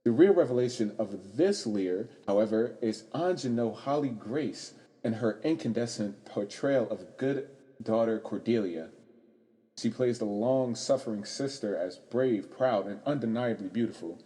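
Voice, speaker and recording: A man playing an earnest, halting young actor in a radio drama. His voice is fairly low and rings with a long hall reverb. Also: dark tone, lightly acted. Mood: bashful, earnest. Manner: hesitant, halting. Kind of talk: radio play acting.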